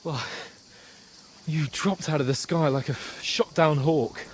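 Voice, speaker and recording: A young highborn companion in a fantasy game. His voice is fairly high, flustered and urgent, chatty and loyal, with clear outdoor nature noise behind it. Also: takes it slowly. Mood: wry, chatty. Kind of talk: dramatic video game acting.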